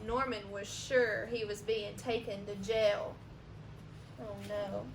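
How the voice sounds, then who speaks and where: A woman reading a children's storybook aloud expressively, pausing for asides and questions to young listeners. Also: medium pitch, steady tone. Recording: roomy echo, noticeable background hiss.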